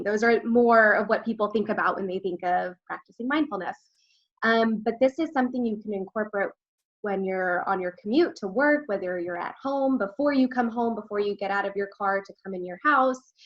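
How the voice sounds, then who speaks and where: A woman's voice: a little high, muffled recording. A woman from Texas co-presenting a webinar in an upbeat, conversational way. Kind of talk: webinar talk.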